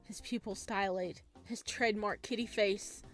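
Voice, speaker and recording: A woman's voice: medium pitch, normal speed. A woman hosting a gaming video, reading aloud and reacting expressively in a casual way. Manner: expressive, exclamatory. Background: soft game music.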